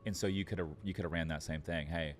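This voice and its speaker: A man's voice: low voice, fairly even intonation, fast, a little hiss or hum. A man giving conversational business advice as an entrepreneur guest on a podcast, informal and sprinkled with filler words. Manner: informal, frequent fillers like "like" and "literally".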